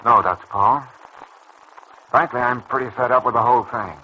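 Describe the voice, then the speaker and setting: A man's voice: medium pitch, normal speed, breathy edge, lightly acted. A man playing a glum, bitter young surgeon in a mid-century radio soap opera, hesitant and sceptical in manner. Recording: dull, noisy old radio recording.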